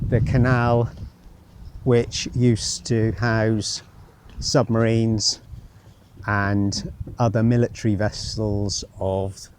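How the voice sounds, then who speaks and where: A male travel vlogger speaks slowly and in a mellow tone, musing as he goes. His commentary is casual and wryly self-deprecating, recorded outdoors with light street and vehicle noise behind him. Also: mid-range voice. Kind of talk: casual walking travel commentary, thinking aloud. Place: outdoors, walking by a road and canal. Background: street ambience, vehicles.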